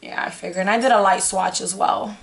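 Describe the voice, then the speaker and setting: A young woman hosts a casual unboxing vlog in a hoarse, raspy voice at a medium pitch. She thinks aloud and breaks off her own sentences in a chatty way. Her voice sounds like it is giving out. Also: steady tone, brisk, poor audio. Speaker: beauty vlogger host. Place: small indoor room with curtain backdrop.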